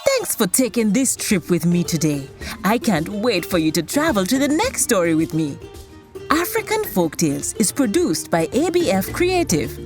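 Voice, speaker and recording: A woman's voice: medium pitch, very animated, normal speed, music in the background. A woman hosting a children's folktale podcast, telling a scripted story theatrically and speaking straight to young listeners.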